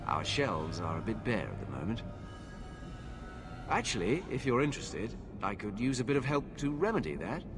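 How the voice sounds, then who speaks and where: A man playing an eager, courteous alchemist shopkeeper in a fantasy game, effusive and theatrical with hesitant asides. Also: medium pitch. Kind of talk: dramatic game cutscene acting.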